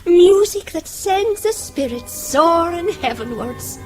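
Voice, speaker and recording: An actress playing a pious, cheerfully needling aunt in a radio drama. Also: female voice, extremely high pitch, lively intonation, slow pace, darkish tone, faint music behind, heavily acted. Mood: teasing.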